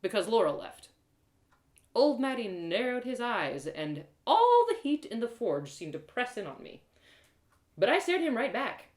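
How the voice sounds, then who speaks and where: A woman narrates a fantasy adventure with theatrical expression. Her voice is on the high side and bright, moving up and down a lot, and the room adds noticeable echo. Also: a little slow. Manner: dramatic, expressive. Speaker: storyteller, author reading live. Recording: roomy echoing sound.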